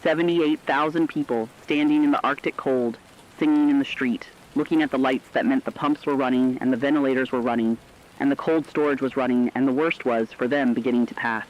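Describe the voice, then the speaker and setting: A reflective actor as a male radio host narrates in a mid-pitched voice with plain, deliberate phrasing. The sound is slightly dull and lo-fi, like scripted late broadcast storytelling. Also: fairly even intonation, lightly acted. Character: reflective male radio host telling human stories during disaster.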